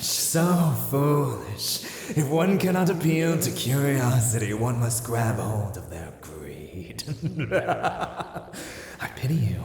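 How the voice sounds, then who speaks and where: A man playing a merman siren in an ASMR roleplay, speaking in courteous, formal phrases as a seemingly gentle creature with a predatory streak. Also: medium pitch, gravelly, slight background noise. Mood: menacing, gloating. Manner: soft, courteous, formal, halting speech. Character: male merman siren, feigning gentle innocence, secretly predatory.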